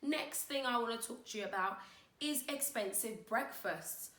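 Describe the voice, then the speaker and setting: A woman with a croaky voice from being unwell, giving chatty, direct money-saving advice to her viewers in a casual vlog. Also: on the high side, normal speed, sharp tone, reverberant. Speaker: finance vlogger.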